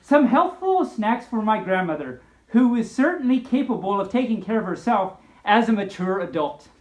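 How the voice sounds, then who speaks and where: Woman doing young storybook girl, indignant, preachy, declamatory, swooping pitch, echoey room. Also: sing-song, normal speed, darkish tone, lightly acted. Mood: prim, offended. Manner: declamatory, lecturing.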